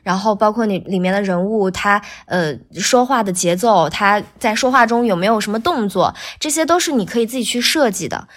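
A woman's voice: mid-range voice, brisk, hardly any pauses. A woman speaking casually as a voice actor guest on a podcast, explaining things and often rephrasing herself as she goes.

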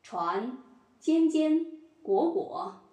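A woman playing a solemn judge in a mock-trial audio drama, speaking formally and deliberately. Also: sits high, sing-song, a little mellow, exaggerated voice. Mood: formal, commanding. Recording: roomy echo.

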